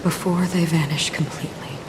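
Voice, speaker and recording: An actress playing an aloof, melancholy wandering woman in a video game cutscene, speaking in a subdued, measured way. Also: sits low, fairly even intonation, echoey room, background noise, lightly acted. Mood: quiet, resolute.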